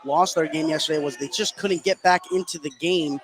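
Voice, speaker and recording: A man doing live play-by-play basketball commentary for radio, getting excitable on big plays. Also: a little high, fairly quick. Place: indoor gymnasium during a game. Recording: noisy live gym broadcast.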